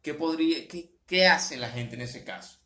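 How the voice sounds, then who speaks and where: A man's voice: a little high, normal speed, sharp tone, reverberant. A man from Latin America co-hosting a casual podcast about learning English, often repeating words as he thinks. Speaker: podcast co-host, English teacher.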